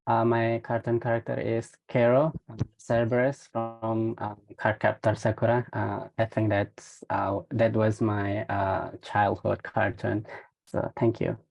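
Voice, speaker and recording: A man's voice: fairly low pitch, little pitch movement, measured pace, gravelly, a little mellow, lo-fi sound. A man giving an informal self-introduction on an online call. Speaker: meeting participant.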